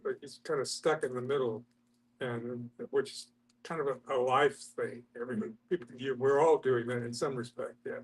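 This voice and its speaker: A man in a casual group discussion, offering his view in tentative, halting phrases. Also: mid-range voice, fairly even intonation, breathy edge, low-quality recording. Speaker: discussion participant.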